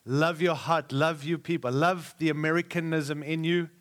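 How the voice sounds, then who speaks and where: A man's voice: on the high side, steady tone, normal speed, fairly bright tone. A middle-aged man, preaching to a live church with repeated, rousing phrases and jokes for the congregation. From Australia. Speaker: guest preacher.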